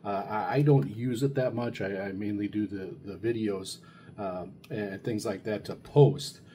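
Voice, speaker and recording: Low-voiced, mellow man, halting delivery. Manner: halting, rambling, frequent stammered repeats. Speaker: video host reviewing radio gear. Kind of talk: casual unscripted product review. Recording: steady background noise.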